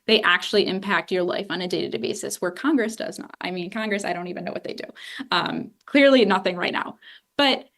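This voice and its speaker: Young woman from ohio talking shop at a campus event, candid, chatty, mid pitch, lots of "you know". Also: fairly quick. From Northeast Ohio. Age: 20s. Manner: candid, animated, frequent "you know" and "I love". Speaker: political journalist. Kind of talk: public talk answering questions. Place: university lecture room.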